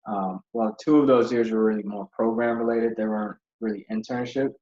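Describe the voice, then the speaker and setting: Low-voiced man from Ghana, rambling. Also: fairly even intonation, a little fast, warm tone. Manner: rambling, with many fillers and restarts. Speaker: podcast guest, accountant. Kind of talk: casual interview storytelling. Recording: dull lo-fi audio.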